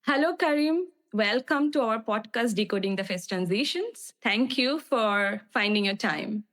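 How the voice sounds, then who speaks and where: A woman hosting a podcast, reading an introduction and asking questions in an earnest, measured way. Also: a little high, expressive, fairly bright tone, reverberant. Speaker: podcast host. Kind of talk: scripted intro then interview questions.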